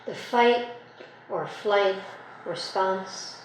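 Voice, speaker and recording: Female meditation guide. Gentle, unhurried, long pauses. Very reverberant room, faint noise. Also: mid-range voice. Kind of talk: guided meditation, soothing slow instruction.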